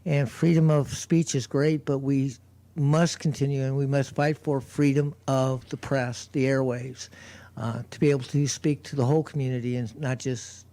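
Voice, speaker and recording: A man who performs on the street, chatting on community radio in a loud voice, rambling with lots of fillers and restarts. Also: mid-range voice, little pitch movement, moderate pace. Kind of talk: casual radio chat.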